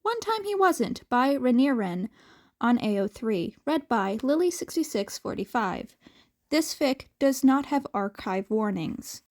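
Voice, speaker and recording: Slow high-voiced woman reading aloud. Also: very animated. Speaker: amateur fan fiction narrator.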